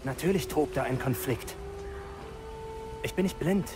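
An actor playing a conflicted, sceptical young imperial soldier in a German video game, questioning and intense. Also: male voice, medium pitch, measured pace, lightly acted.

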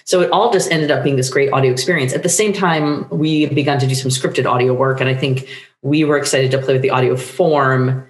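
A woman's voice: rumbling low, fairly even intonation, a little fast. A woman in her forties gives enthusiastic, meandering interview answers. She is on a video call, and the room rings noticeably around her voice. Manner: rambling, enthusiastic. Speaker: interview guest, playwright. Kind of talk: casual interview chat. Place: book-lined home room, indoors. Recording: roomy home video call.

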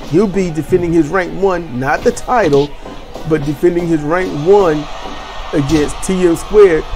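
Host voice: energetic rambling man, fairly high voice, game music behind. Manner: energetic, chatty, rambling with restarts. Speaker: host and commentator. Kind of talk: video game wrestling commentary.

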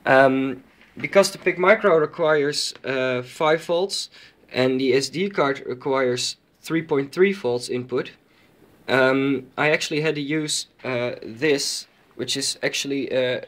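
Man giving a halting informal demo. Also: mid-range voice, fairly bright tone. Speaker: electronics hobbyist. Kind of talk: informal project demonstration. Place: indoors at a desk with electronics.

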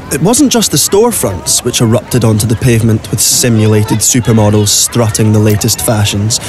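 A man reading scripted narration for an audio drama. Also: medium pitch.

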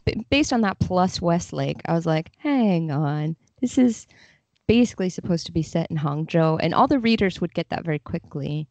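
A woman's voice: fairly low pitch, lively intonation, moderate pace, a little mellow, no room sound. A woman speaking as an interview guest, explaining things in a casual, conversational way. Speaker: podcast guest, literary translator. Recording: slightly dull, muffled audio.

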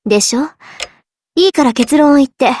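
A woman's voice: high voice, expressive, normal speed, a little mellow, slight background noise. An actress playing a proud, impatient teenage schoolgirl in an anime visual novel, speaking in a curt, no-nonsense way.

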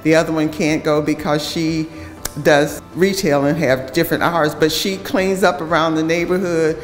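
Older woman, measured, echoey room. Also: sits low, steady tone, faint music behind. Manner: measured, hesitant, many ums. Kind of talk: documentary interview. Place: empty house, indoors. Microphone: lapel. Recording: echoey room with long reverb.

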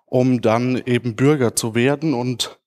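A man's voice: mid-range voice, fairly even intonation, a little slow, darkish tone. Man giving an informal conference talk. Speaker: conference speaker, podcast creator. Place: large auditorium stage.

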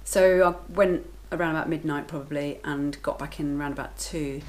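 A woman's voice: sits low, steady tone, normal speed, slight background noise. A woman in her thirties talking casually to the camera in her home kitchen, matter-of-fact and chatty. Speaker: vlogger, lifeguard and working mother.

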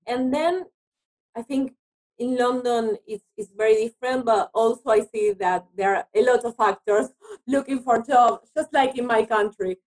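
Woman w argentine spanish accent chatting in an interview, highish voice, bit hesitant, repeats words. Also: moderate pace, darkish tone, poor audio. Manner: hesitant non-native English, repeats words. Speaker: interview guest, actress. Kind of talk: conversational interview.

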